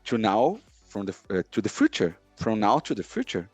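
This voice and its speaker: A middle-aged Brazilian man whose first language is Portuguese, speaking English with a Brazilian accent and often pausing to search for words on a video call. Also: fairly low pitch, sing-song, darkish tone, slightly rough, no room sound, soft background music. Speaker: guest, sports lawyer. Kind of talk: podcast interview.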